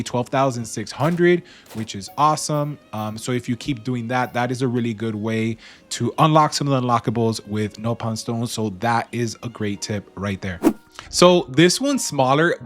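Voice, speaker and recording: A man giving a casual, conversational gaming video voice-over with slangy, dry humour. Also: mid-range voice. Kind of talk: casual game review voice-over, part scripted, part off the cuff. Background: music.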